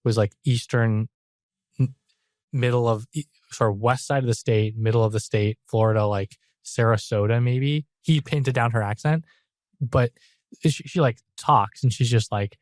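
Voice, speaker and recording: A man's voice: medium pitch, fairly even intonation, moderate pace, darkish tone, no echo, clean studio sound. A man hosting a podcast, chatting casually with his guest and rambling with lots of "like.". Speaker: podcast host.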